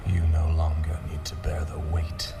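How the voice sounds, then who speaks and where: An actor playing a cold, sinister prince in a fantasy video game, speaking in a hushed, solemn way from a distance under loud music. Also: male voice, very deep voice, completely flat delivery, moderate pace, vocal fry. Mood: cold, soothing. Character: cold, sinister prince betraying his father, softly menacing.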